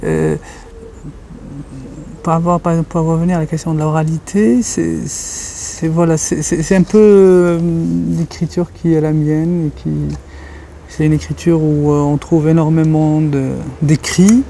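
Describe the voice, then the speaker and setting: Thoughtful man, mellow voice. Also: a little high, steady tone, measured pace, creaky, slight background noise. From Algeria. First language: Kabyle. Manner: thoughtful, searching for words. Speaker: interviewee, writer and journalist. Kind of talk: reflective interview talk.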